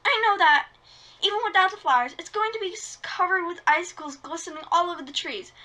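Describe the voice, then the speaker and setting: Woman voicing a very high, bright teen girl. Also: brisk. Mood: delighted. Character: sweet, enthusiastic, adventurous teenage girl. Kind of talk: amateur script-read voice acting.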